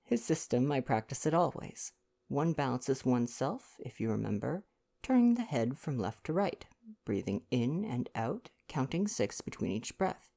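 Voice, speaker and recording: Guy doing a fussy vain belgian detective, proud n theatrical, bit of gravel, storybook reading. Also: mid-range voice, big pitch swings, moderate pace, warm tone, pitched above the performer's own voice. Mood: earnest. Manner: pompous, emphatic, formal phrasing.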